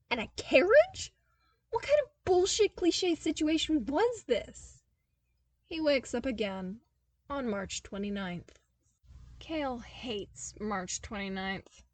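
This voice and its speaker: A woman reading a fan fiction story aloud as a podfic, with an expressive delivery that bursts into exasperated comic outbursts. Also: high-pitched, no room sound. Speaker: podfic reader.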